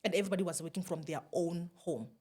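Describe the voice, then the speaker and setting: Fast-talking South African woman guest. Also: fairly low pitch, slightly rough. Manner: animated, laughs often, mixes in local phrases. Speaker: guest, YouTube creator. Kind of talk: casual interview storytelling.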